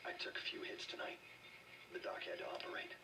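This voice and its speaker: A man playing a wounded, weary and dutiful soldier in a video game, subdued and breathy. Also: brisk, lightly acted. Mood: pained, subdued. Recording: noisy, slightly distant game audio.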